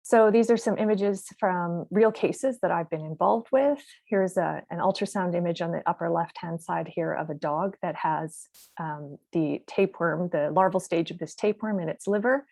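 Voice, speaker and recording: A woman researcher giving a measured, explanatory webinar talk over a video call. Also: lowish voice, creaky, a little mellow, no room sound. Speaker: researcher presenting. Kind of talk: webinar presentation.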